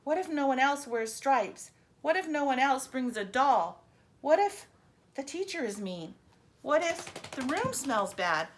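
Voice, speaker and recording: A woman's voice: sits high, big pitch swings, unhurried, slight background noise, pitched above the performer's own voice. A woman reading the part of an anxious little girl mouse in a storybook, fretful and earnest.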